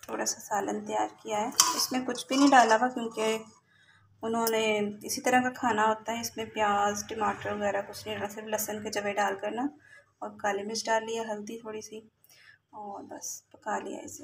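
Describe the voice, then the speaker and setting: Flat-toned woman chatting through her kitchen chores. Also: fairly high pitch. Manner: relaxed, explanatory, addresses viewers directly. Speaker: home cooking vlogger. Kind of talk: casual chat narrating daily chores and cooking. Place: home kitchen, indoors. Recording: noisy home recording.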